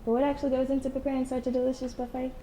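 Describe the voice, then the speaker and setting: A young woman student reporter narrating a news feature in a quick, polished read. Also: high voice, little pitch movement, dark tone, a little hiss or hum, low-quality recording. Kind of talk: scripted news package narration.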